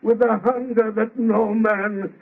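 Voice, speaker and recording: A man's voice: high voice, level tone, takes it slowly, exaggerated voice. A man playing a lonely, embittered, vengeful man-made monster in an old radio horror drama, speaking in menacing, archaic declamation. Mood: pleading. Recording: dull, lo-fi vintage radio recording.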